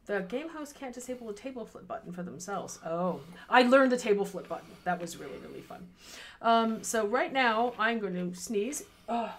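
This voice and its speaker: A woman's voice: fairly high pitch, lively intonation, moderate pace, a little hiss or hum. A woman in her thirties co-hosting a charity game stream from home on a headset mic, chatty and easily distracted. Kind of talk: casual livestream chat. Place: home room with fairy lights behind.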